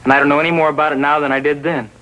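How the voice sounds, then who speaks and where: A man's voice: fairly high pitch, flat delivery, very fast, fairly bright tone, highs cut off, lightly acted. An actor playing a surly, evasive teenage boy in 1950s TV drama style, sullen and dismissive. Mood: evasive denial.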